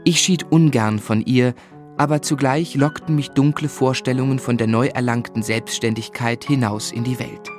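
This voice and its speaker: Male actor as earnest young gentleman, medium pitch, articulate literary delivery, radio play, faint-to-moderate music. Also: fairly even intonation, normal speed, lightly acted. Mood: reflective. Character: David Copperfield, earnest young man narrating his life. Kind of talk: German radio drama, literary narration and dialogue.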